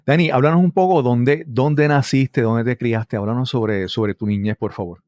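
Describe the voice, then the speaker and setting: A man's voice: medium pitch, normal speed, darkish tone, dry recording, studio quality. A man hosting a conversational interview, often checking in with tag questions. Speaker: podcast host.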